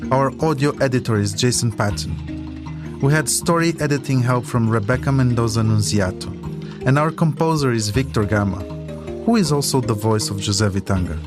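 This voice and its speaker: Guy hosting a documentary podcast, reading a script, medium pitched voice, music behind him. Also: moderate pace. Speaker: podcast host and narrator.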